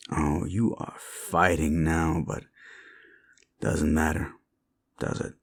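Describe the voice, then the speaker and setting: A man playing a cruel, menacing slasher killer in a horror audio roleplay, taunting a captive in a gravelly, breathy half-whisper. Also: extremely low pitch, full character voice. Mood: sadistic, threatening. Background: music.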